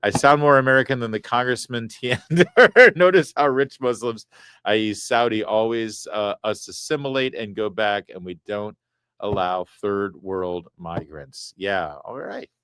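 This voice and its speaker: A man's voice: lowish voice, fairly bright tone, smooth, no room sound. Dry-voiced male live-stream host. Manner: chatty, wry, quick asides. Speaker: talk show host reading viewer super chats. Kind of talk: casual live-stream chat. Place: studio set.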